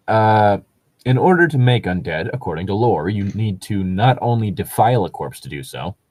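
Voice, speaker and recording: Man, low voice, dry sound, casual commentary, rambling, halting delivery. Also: very animated, a little mellow, no room sound. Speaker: co-host reading and commenting on tabletop gaming stories.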